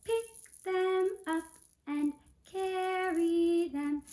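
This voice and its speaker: A woman voices a troop of busy little storybook ants in a very high voice. They chant in slow, singsong rhyme, urging one another on. The room echoes around the words. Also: steady tone, dark tone, faint music behind, exaggerated voice.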